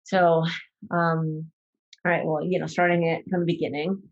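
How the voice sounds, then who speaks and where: A woman's voice: low-pitched, flat delivery, moderate pace, muffled recording. A young woman from Ecuador telling her personal story on a podcast, hesitant, with many fillers and restarts. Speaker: podcast guest.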